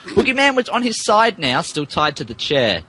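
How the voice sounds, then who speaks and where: A man's voice: sits high, sing-song, moderate pace, bright, forceful tone, a little hiss or hum. A man narrating a story in an amused, theatrical, mock-dramatic way, as a podcast co-host.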